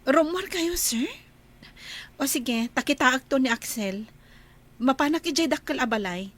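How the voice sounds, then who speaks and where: A woman's voice: high voice, animated, unhurried, fairly bright tone, exaggerated voice. An actress playing a polite, caring young woman working in a household in a radio drama.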